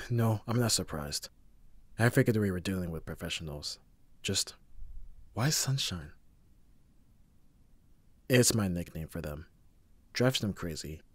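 Young male bodyguard played by a man for roleplay audio drama: deep-ish, husky, abrasive, teasing, secretly caring. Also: dry recording, heavily acted. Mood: curt, businesslike. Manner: sardonic, abrasive yet reassuring.

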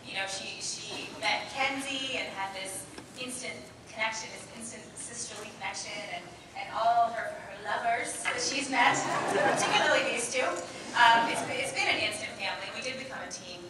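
A woman's voice: a little high, moderate pace. A woman actor chatting casually on a convention panel, laughing often and using many fillers. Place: large convention hall, audience. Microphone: table mic, filmed from far in crowd. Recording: echoey hall from far off, noisy.

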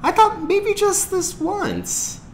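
A man voicing a sweet, playful little girl in a storybook, drawn out and breathy. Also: very high-pitched, wildly swooping pitch, slow, slight background noise, voice pushed up, heavily acted.

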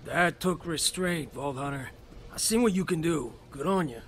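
A man playing a weary, blunt but soft-hearted gunslinger in a video game, speaking in a subdued way. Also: a little high, normal speed, close to an everyday voice. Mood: subdued approval. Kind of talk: video game character acting.

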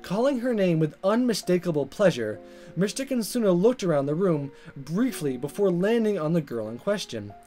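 A man reading visual novel text aloud as a let's player, in expressive narration. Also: sits high, moderate pace. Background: game music.

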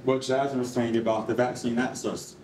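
A man's voice: mid-range voice, fairly quick. Young man presenting in a roomy hall. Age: young adult. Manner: conversational, animated, frequent "like" and "you know". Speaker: project presenter. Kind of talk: informal live presentation to an audience. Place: concrete-walled rehearsal hall before an audience. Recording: roomy, distant mic, faint noise.

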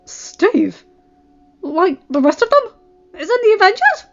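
A woman's voice: very high-pitched, big pitch swings, slow pace, dull audio, voice pushed up, heavily acted. A woman voicing a sneering, taunting teenage boy bully in an audiobook story, with a mocking delivery. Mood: incredulous. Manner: mocking, incredulous. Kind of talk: fan fiction audiobook character voice. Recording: band-limited audio with background music.

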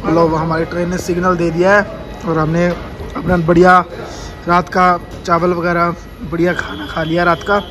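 High-voiced young man vlogging from a train. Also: monotone, normal speed, poor audio. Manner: chatty, narrates train journey updates. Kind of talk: casual travel vlog narration. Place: on a train and station platforms. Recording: crowded train station noise.

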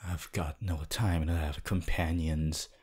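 Man voicing a very husky, brooding young hero. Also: rumbling low, fairly even intonation, darkish tone, full character voice. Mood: cold, determined. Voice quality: husky, breathy, often near a whisper. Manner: slow, terse, deliberate. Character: cold, brooding, proud teenage hero student with ice powers. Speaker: roleplay voice actor. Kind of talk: ASMR audio roleplay.

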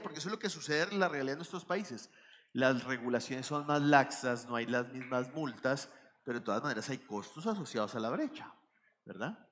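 A man's voice: medium pitch, bright, forceful tone. A middle-aged man with a Colombian accent giving a conference talk on stage, explaining and putting questions to the audience. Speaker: conference speaker. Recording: reverberant hall, faint ambience.

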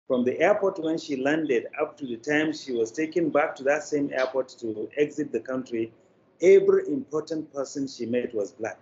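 An older man with a Nigerian accent giving deliberate, formally worded interview answers with frequent pauses. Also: mid-range voice, darkish tone. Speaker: interview guest, media producer. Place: plain indoor room, video call. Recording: dull, noisy video call.

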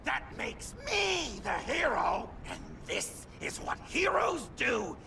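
A manic clown vigilante for a video game, very high and creaky. His delivery swings wildly and theatrically, often pushed toward a shout. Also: big pitch swings, slow, far from the mic, a little hiss or hum, exaggerated voice. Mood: righteous fury. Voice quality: creaky, strident. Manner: theatrical, dramatic swings and emphasis. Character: manic, theatrical green-haired clown vigilante, adult man.

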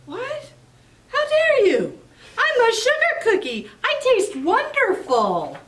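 A cocky little cookie man squeals his boasts in a bright, high voice that leaps all over the place, put on by a woman reading to children. He is proud and quick to take offense. The voice sits a little distance from the microphone. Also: big pitch swings, exaggerated voice. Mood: indignant. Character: boastful, stubborn little male sugar cookie. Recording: voice a bit off-mic.

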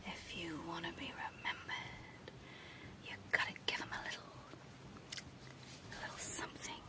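A woman voicing a creepy evil clown dentist in a menacing, teasing, breathy whisper for an ASMR horror roleplay. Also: fairly low pitch, level tone, close to an everyday voice. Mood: taunting. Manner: slow, teasing, menacing, drawn-out phrases. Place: small dark room indoors, close to the mic.

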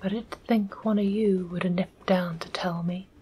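A woman voicing a touchy working-class male railway porter in a soft whisper, sulky and full of dropped-letter dialect. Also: on the low side, steady tone, normal speed, a little hiss or hum, lightly acted. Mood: aggrieved.

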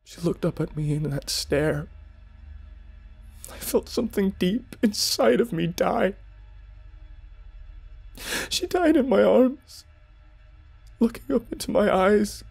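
A man playing a grieving, horrified witness telling a tragic story in a husky, creaky, halting voice. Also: high-pitched, darkish tone, faint music behind, pitched above the performer's own voice, exaggerated voice.

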